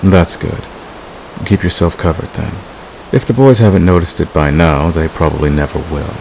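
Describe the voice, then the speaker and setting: Man playing a very deep-voiced seductive villain. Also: fairly even intonation, gravelly, a little mellow, a little hiss or hum, rough recording. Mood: calm, reassuring. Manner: teasing, unhurried, murmuring to a lover. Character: seductive male fantasy villain, smug, secretly in love. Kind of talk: romantic audio roleplay, intimate ASMR acting.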